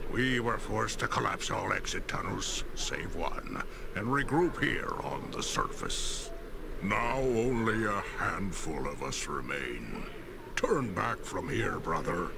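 An actor playing a weary, battle-worn dwarf clansman in a video game, solemn and deliberate. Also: lowish voice, gravelly, background noise, full character voice. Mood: grave, sorrowful.

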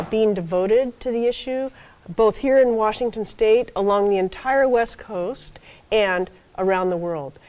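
Older woman, soft voice, guest lecture, podium mic, lecture hall, ums and okays. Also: fairly high pitch. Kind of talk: university seminar talk.

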